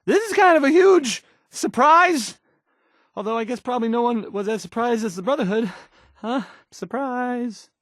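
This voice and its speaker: A man's voice: very high-pitched, lively intonation, fairly bright tone, no room sound, studio quality. A man playing an awkward, unsure young radio DJ in a video game, stumbling hesitantly through news reports. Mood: surprised, awkward. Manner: halting, stammering, hesitant, trailing off.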